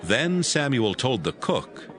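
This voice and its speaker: A man narrating scripture from a script in a measured storytelling manner. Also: mid-range voice, very animated, fairly bright tone.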